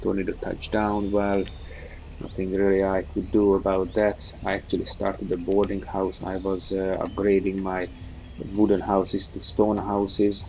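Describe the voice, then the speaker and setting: Male gaming host, low gravelly voice, halting off-the-cuff commentary over faint noise. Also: completely flat delivery, a little mellow, low-quality recording. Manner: halting, thinking aloud. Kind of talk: unscripted let's play commentary.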